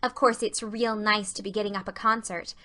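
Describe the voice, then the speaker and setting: A woman's voice: mid-range voice, fairly even intonation, brisk, sharp tone, lightly acted. A woman voicing an earnest, romantic, effusive schoolgirl in a dramatized audiobook, with gushing, dramatic delivery. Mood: eager pleading.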